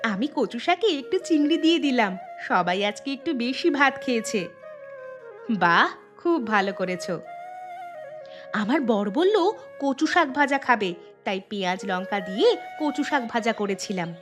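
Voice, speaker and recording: A woman voicing a chatty, kind village housewife in a Bengali cartoon, with expressive, homely delivery. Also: a little high, measured pace, long pauses. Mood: chatty, friendly. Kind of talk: Bengali cartoon voice acting, dialogue. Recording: music bed behind the voice.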